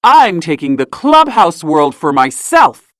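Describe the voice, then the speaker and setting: Woman as male cartoon mouse villain, lonely, plain-spoken, measured slow pace, storybook read-aloud. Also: on the low side, very animated, no echo, studio quality, pitched below the performer's own voice. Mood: boastful.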